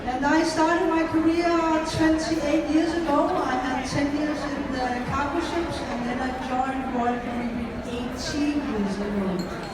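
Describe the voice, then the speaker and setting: A middle-aged woman from Denmark, a ship captain giving a formal welcome speech and introducing her crew, with measured phrasing and filler pauses. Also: high-pitched, steady tone. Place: large ship atrium with crowd. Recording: echoey PA in a hall from far off, crowd noise.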